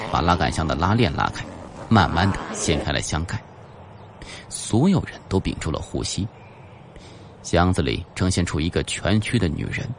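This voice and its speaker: A man narrating a suspense audiobook in a dramatic, scripted storytelling style. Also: low voice. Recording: faint background music.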